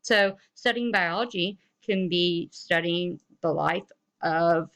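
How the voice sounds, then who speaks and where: Unhurried woman teacher, lowish voice. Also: a bit bright, clear, resonant tone. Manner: encouraging, explanatory, informal phrasing. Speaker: teacher giving a recorded lesson. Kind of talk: instructional lesson to students.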